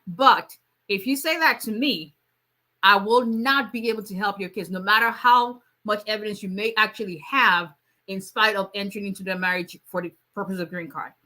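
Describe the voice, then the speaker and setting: Woman immigration attorney, bright voice, casual live Q&A. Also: mid-range voice, a little fast. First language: Yoruba. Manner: conversational, many fillers, reads out viewer questions. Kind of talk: live Q&A stream.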